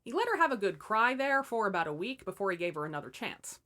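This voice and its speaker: A woman's voice: medium pitch, big pitch swings, fast, bright, forceful tone. A woman hosting and narrating fairy tales as scripted comedy, with wry, irreverent asides. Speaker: host and storyteller.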